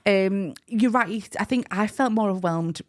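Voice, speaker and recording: A woman's voice: mid-range voice, moderate pace, a little mellow. A young Scottish woman co-hosting a casual couple's podcast, chatty and teasing with frequent giggles. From Scotland. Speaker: podcast co-host.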